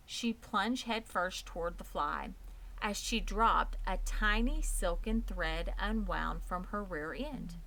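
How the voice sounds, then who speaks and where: Slow-paced woman reading a children's story. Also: mid-range voice, sharp tone, rough recording. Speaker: storybook reader. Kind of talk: scripted children's audiobook narration.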